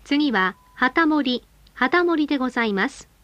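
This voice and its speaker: A woman reading scripted bus stop announcements in a polite, formal and measured way. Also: high voice, animated, a bit bright, no echo.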